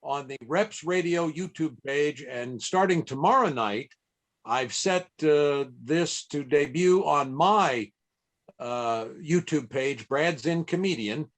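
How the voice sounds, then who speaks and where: Halting middle-aged American man hosting casually. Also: fairly high pitch. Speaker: event host and interviewer. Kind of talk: casual, chatty live hosting. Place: indoors on a video call.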